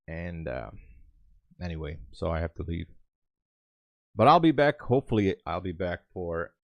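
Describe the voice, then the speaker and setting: Low-voiced Hungarian man streaming casually. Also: no echo. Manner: rambling, thinking aloud. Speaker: live trading streamer. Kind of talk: casual livestream chat.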